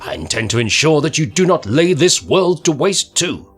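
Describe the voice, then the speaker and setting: A man's voice: fairly high pitch, very animated, normal speed, breathy edge, soft background music. A man reading aloud and voicing an old male alien traveller who needles and questions with theatrical defiance. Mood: stern.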